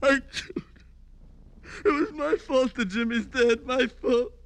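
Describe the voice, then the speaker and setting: An actor playing a guilt-ridden, broken man in an old radio crime drama, fragile and halting. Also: very high voice, gravelly, fairly bright tone, heavily acted. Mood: tearful, guilt-stricken. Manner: halting, anguished. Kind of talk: 1950s radio drama acting.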